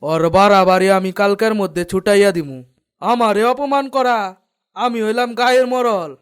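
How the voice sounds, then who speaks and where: Man playing a pompous high-voiced village headman. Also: normal speed, smooth, close to an everyday voice. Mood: vengeful. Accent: rural Bengali dialect. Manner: pompous, threatening. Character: arrogant, vengeful village headman plotting revenge.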